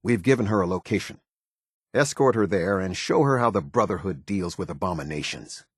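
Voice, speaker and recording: An American man playing a stern, formal military officer in a video game, speaking with husky, clipped, measured authority. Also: deep voice, lively intonation, no room sound, studio quality. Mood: instructive, steady. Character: stern, formal male military officer of a post-apocalyptic order. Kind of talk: video game character dialogue.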